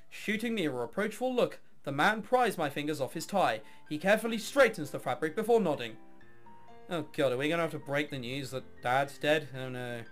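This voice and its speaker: A man reading visual novel text aloud with casual commentary, in an expressive, dramatic way with wry asides. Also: on the high side.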